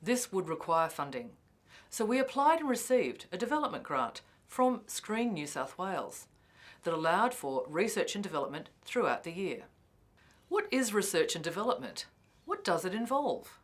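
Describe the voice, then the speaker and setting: A woman's voice: medium pitch, very animated, moderate pace. A woman filmmaker giving scripted narration about her work.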